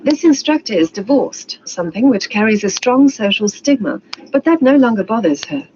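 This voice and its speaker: A woman's voice: fairly high pitch, animated, normal speed, warm tone, slight background noise. A woman reading a scripted documentary narration as its narrator. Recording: dull playback with voices talking over.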